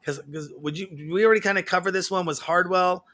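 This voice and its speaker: Male host with a bright, slightly high voice, reads viewer questions in a casual chat. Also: fast. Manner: friendly, reads viewer questions, loose rephrasing. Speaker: interview host.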